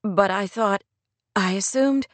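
A woman playing a gentle, confused young woman in an audiobook romance, speaking in a soft, hesitant, pleading way. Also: mid-range voice, takes it slowly, no room sound, natural voice. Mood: bewildered. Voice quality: soft, murmuring.

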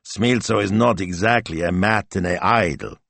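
A man's voice: low voice, normal speed, creaky, fairly bright tone, no room sound, full character voice. A man voicing a doubtful, easily exasperated Italian husband in an audiobook, with a grumbling, hesitant manner.

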